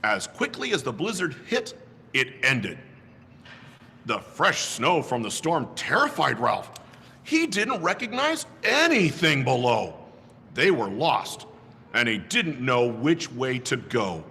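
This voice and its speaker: A man dressed as Santa, reading a children's story aloud slowly and expressively. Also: slightly rough, in a large hall. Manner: slow, highly expressive.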